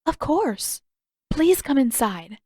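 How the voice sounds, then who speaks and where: Gracious young fantasy princess, sweet, courteous and gently formal, voiced by a woman narrator. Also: high voice, very animated, slow, dry recording, studio quality, pitched above the performer's own voice, exaggerated voice. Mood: bright, welcoming.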